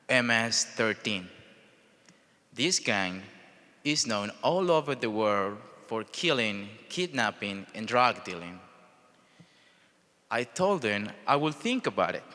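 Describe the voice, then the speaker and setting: A young man from El Salvador with a Salvadoran Spanish accent gives a prepared personal speech in short, deliberate phrases. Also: medium pitch, pushed and bright. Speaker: speaker telling his life story at a charity event. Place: large event hall, speaking to an audience. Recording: reverberant large hall sound.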